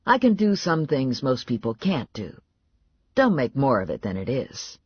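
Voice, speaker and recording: A self-assured, easygoing man, played by a woman narrator, dropped low with a husky edge. The lines come out dry and wry, in a comic audiobook. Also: very animated, normal speed, no echo, lo-fi sound, exaggerated voice. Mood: calm. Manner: dry, casual, low-key. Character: laid-back, wry, self-assured man with mysterious powers.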